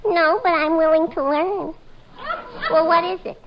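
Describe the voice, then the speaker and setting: An actress playing a chatty, easily offended maid who rambles indignantly in a 1940s radio comedy. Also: female voice, very high voice, steady tone, a little slow. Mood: stubborn, clueless. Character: chatty, brassy hotel maid, aspiring secretary, easily offended. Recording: dull 1940s radio recording, audience, hiss.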